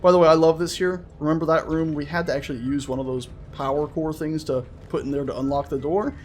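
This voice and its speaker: A man's voice: on the high side, steady tone, fast, a little mellow, a little hiss or hum. A man hosting a gaming video with casual, chatty, playful and enthusiastic let's-play commentary. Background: game music.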